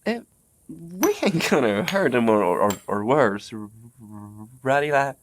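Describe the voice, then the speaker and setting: A man's voice: medium pitch, animated, a little slow, a little hiss or hum, lightly acted. A man playing a timid, dim-witted American bandit brother in an audio drama, hesitant and stammering in a folksy way. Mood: uneasy.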